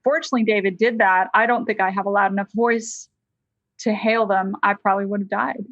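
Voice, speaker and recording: An American woman, a licensed counselor, telling a personal story as a podcast guest in a lively, conversational way. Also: medium pitch, brisk, no room sound, dull audio. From United States.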